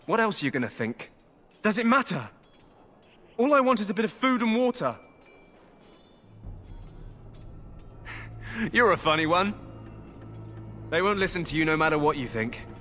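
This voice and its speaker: An actor playing a resigned, sly, hungry army deserter in a fantasy game, wheedling in a folksy way. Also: male voice, fairly high pitch, sharp tone.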